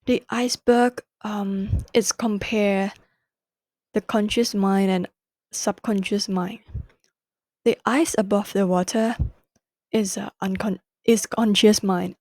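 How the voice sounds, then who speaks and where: A woman's voice: medium pitch, darkish tone, no room sound. A young woman with a Thai accent hosting a solo podcast, explaining a book carefully in non-native English and correcting herself as she goes. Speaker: podcast host.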